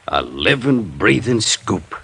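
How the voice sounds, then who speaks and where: A man's voice: lowish voice, big pitch swings, takes it slowly, creaky, a bit bright, slightly rough, exaggerated voice. An actor playing a folksy, eager old small-town newspaperman, garrulous and rustic in his speech, in 1950s radio character style. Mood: eager, grumbling. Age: older.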